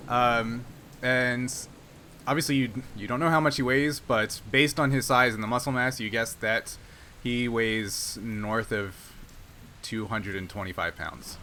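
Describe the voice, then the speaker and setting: Male game master, bright forceful tone, halting casual narration, faint background noise. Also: mid-range voice, fairly even intonation.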